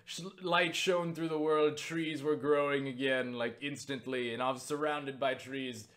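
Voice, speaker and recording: A young man casually recounting a story for viewers, excitable and full of swearing and filler words. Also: mid-range voice. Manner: excitable, swears freely, lots of fillers and laughs. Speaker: YouTuber answering viewer questions.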